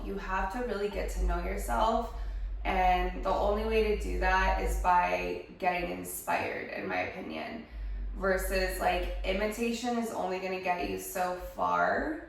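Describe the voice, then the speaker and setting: Hoarse woman chatting in an echoey room. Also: medium pitch, distant mic, slight background noise. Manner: chatty, lots of fillers. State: hoarse from a head cold. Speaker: vlogger. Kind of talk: casual chat to camera. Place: her home studio.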